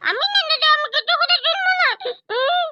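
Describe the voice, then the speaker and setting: A woman's voice: extremely high pitch, moderate pace, pushed and bright, no echo, heavily acted. A woman voicing a stubborn, whiny village little brother who pleads and insists, in a Purulia Bengali accent.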